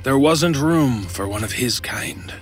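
A man's voice: mid-range voice, animated, takes it slowly. A man playing an exasperated, skeptical older antiques dealer in an old time radio drama, grumbling and dryly sarcastic. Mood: grave storytelling. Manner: grumbling, dry sarcasm, weary storytelling.

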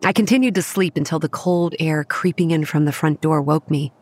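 A young woman acting as narrator of a horror audio drama, recounting grim childhood memories in a measured, matter-of-fact way. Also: low voice, no echo. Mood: grave, measured. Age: young adult. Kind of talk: scripted first-person horror narration. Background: soft music.

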